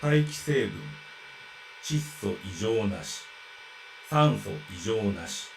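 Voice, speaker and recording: An actor voicing a formal android reciting system reports in a Japanese visual novel, clipped and procedural, with a Japanese accent. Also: male voice, on the low side, expressive, a little slow, faint music behind.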